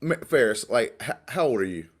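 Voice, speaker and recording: A man's voice: mid-range voice, animated, moderate pace, over a noisy crowd. A man in his late thirties co-hosting a casual podcast chat, talking in a rambling way and restarting his words often. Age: 30s. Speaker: podcast co-host.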